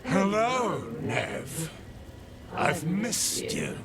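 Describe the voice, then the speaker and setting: A man's voice: high-pitched, wildly swooping pitch, extremely slow, vocal fry, over a noisy crowd, heavily acted. A man playing a fanatical, menacing blood mage who declaims grandly through a possessed body, in a fantasy game. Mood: menacing, taunting. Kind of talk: theatrical fantasy video game acting. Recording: loud music behind the voice.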